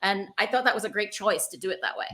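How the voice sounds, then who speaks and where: A woman interviewer with a voice of average pitch. She talks conversationally as a host, effusive and encouraging toward her guest. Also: expressive, fast talker, fairly bright tone.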